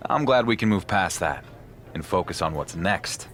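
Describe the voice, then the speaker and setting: Lowish-voiced confident wrestler. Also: male voice, animated, moderate pace, fairly bright tone. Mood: easygoing. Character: confident, easygoing male champion wrestler. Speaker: pro wrestler. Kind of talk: conversational scripted game dialogue.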